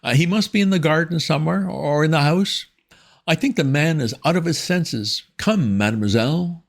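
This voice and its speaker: A man voicing a stern older headmistress in a storybook reading, his voice raised high. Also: moderate pace, creaky. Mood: indignant. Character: imperious stern woman headmistress.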